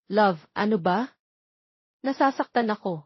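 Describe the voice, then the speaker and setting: A woman's voice: mid-range voice, animated, a little slow, husky, no room sound, muffled recording. A woman voicing a jealous, clinging young woman in an audio story, pleading and defiant. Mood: pained, pleading.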